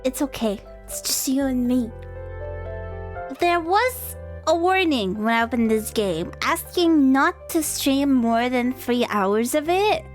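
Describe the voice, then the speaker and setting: A young woman with a slightly high voice chats casually on a live game stream. She takes her time, hesitating and rambling half to herself. Music plays along behind her voice. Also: expressive, slow. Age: young adult.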